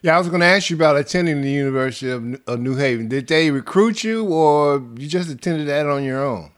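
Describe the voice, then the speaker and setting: A man interviewer asking measured, formal questions. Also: a little high.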